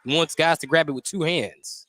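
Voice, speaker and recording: A man's voice: fairly high pitch, moderate pace, fairly bright tone, no room sound. A man doing live play-by-play basketball commentary as a radio announcer. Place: indoor basketball gym, courtside. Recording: live gym noise behind the voice. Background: gym noise, bouncing basketball.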